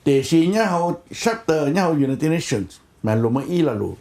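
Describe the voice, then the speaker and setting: A man's voice: on the high side, lively intonation, fast talker, darkish tone. A man speaking spontaneously in a discussion on a conference call.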